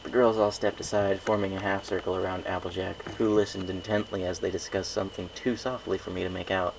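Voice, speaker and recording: A man's voice: deep voice, flat delivery, a little fast, gravelly. A man reading a first-person story aloud as an amateur narrator, with faint noise behind him.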